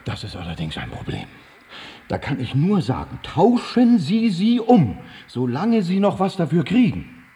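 An actor playing a pompous prison priest in a German radio drama, lecturing emphatically in a preachy manner. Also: male voice, a little high, huge pitch swings, unhurried, dark tone, a little hiss or hum, heavily acted. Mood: matter-of-fact. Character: pompous male prison priest explaining God through money.

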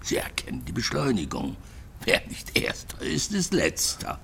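An actor in a radio crime drama playing an old East German scientist, sardonic and guarded, with a pedantic, wry manner. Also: male voice, lowish voice, normal speed, creaky, breathy edge, heavily acted. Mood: lecturing, bitter. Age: older. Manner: pedantic, wry, animated with hushed asides.

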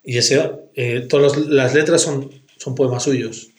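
A man's voice: medium pitch, fairly even intonation, measured pace. Rambling man in an echoey room. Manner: rambling, argumentative, full of slang fillers. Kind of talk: casual music chat.

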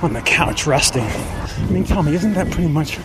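A man's voice: on the high side, sing-song, moderate pace, over music. A middle-aged man hosting a podcast, talking in a conversational, personal way. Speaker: podcast host. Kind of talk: conversational podcast monologue and interviewing.

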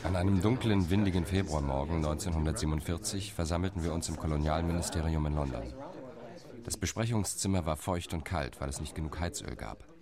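A man's voice: rumbling low, a little fast, a loud crowd. An actor playing an older Zionist negotiator, narrating his memories in a wry, reflective way. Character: Zionist negotiator, older man, dry and ironic. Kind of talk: radio drama memoir narration.

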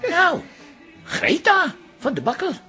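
A man's voice: very high voice, wildly swooping pitch, takes it slowly, bright, forceful tone, faint music behind, heavily acted. An actor playing a bossy, scheming and self-assured male bar regular in a radio drama. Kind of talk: modern radio drama acting.

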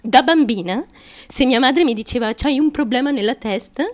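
Actress as fragile young striver, very high voice, childlike and insistent, theatrical radio monologue. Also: female voice, lightly acted. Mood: determined, confiding. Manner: childlike, insistent, halting, repetitive, with nervous giggles. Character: small, driven, fragile young woman confessing, fiercely chasing fame.

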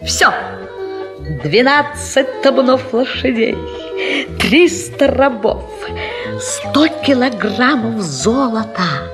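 Casting: greedy young bride, higher voice, slow theatrical gloating, 1970s comic radio play with music. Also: female voice, animated. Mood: calculating triumph. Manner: theatrical, mock-tragic, gloating. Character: greedy, scheming young Greek bride of rich old chieftain. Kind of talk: comic radio monologue, read as diary.

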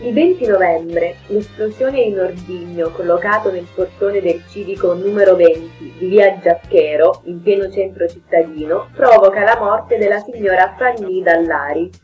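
A woman's voice: mid-range voice, low-quality recording. Woman reading scripted history over music. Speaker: radio show co-host.

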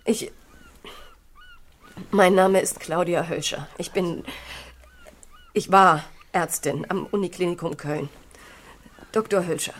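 An actress playing a shaken but assertive doctor in a radio drama, speaking in an agitated, urgent way. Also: female voice, lowish voice, fairly even intonation, slow, pauses often. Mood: hesitant. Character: Claudia, shaken, assertive female doctor who survived a crash. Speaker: hospital doctor. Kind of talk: German radio drama acting.

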